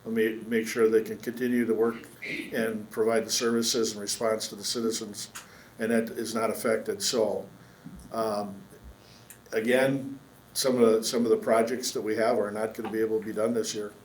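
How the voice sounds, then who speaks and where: A man's voice: lowish voice, level tone, a little mellow. Man chairing an echoey public meeting. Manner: measured, with frequent fillers and restarts. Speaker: county board chair. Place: council chamber. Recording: echoey meeting room with faint hum.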